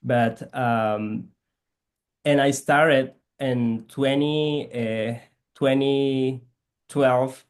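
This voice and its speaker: Guatemalan guy, k'iche' first language, halting english, keeps hunting for words. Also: mid-range voice, fairly even intonation. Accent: Guatemalan Spanish. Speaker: guest, physical therapist missionary. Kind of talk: conversational interview.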